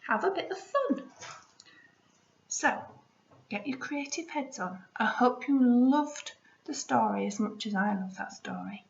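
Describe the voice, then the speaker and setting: A woman teacher speaks to young children in a lilting, lively storytelling voice. Her delivery is unhurried and encouraging. The home recording is echoey and slightly dull. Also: a little high, gravelly. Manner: encouraging, expressive, asks children questions. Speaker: primary school teacher reading a story.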